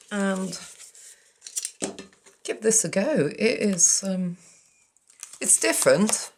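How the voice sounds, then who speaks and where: A woman's voice: mid-range voice, gravelly, a little mellow, a little hiss or hum. A woman hosting a crafting tutorial, chatting casually and with self-deprecating asides while she works at a table. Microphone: overhead camera on the work, speaker off frame.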